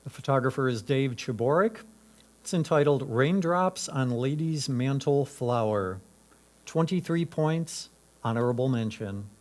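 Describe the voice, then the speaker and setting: A man's voice: mid-range voice, animated, measured pace. A man acting as a competition announcer, reading out names, titles and scores in a formal style.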